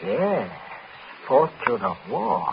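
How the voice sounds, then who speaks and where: A man's voice: medium pitch, big pitch swings, extremely slow, heavily acted. An actor playing a stern, calculating middle-aged father with a clipped, domineering manner in a 1940s radio thriller. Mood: falsely jovial, nervous. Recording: muffled, noisy 1940s radio broadcast.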